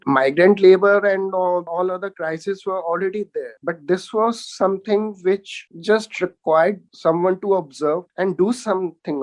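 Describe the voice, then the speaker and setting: A man with an Indian accent speaks as a panel guest in a fairly high voice. He recounts his experience in an informal, conversational style. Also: a little mellow, poor audio. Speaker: panelist, animal feeding entrepreneur.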